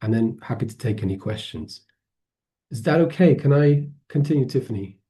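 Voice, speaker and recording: A man gives an online presentation over a video call. His voice is mellow and dark. He hesitates a lot and restarts his sentences as he goes. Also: medium pitch, expressive, moderate pace. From Poland, grew up in England. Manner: hesitant, many fillers and restarts. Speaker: webinar presenter.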